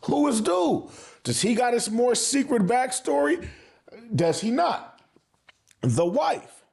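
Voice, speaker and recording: Man, high voice, anime reviewer, casual opinionated vlog, slangy, emphatic, sweary. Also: very animated, slow pace, gravelly, a little mellow. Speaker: anime reviewer and channel host.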